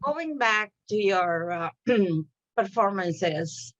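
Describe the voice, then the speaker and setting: A middle-aged woman interviewer talks slowly and haltingly, feeling her way through each question. Her voice comes over a dull, slightly muffled webcam line with a dry sound. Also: medium pitch, lively intonation, a bit bright, no echo. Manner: hesitant, many fillers and restarts while forming questions. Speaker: host. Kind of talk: interview over video call. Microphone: video call webcam.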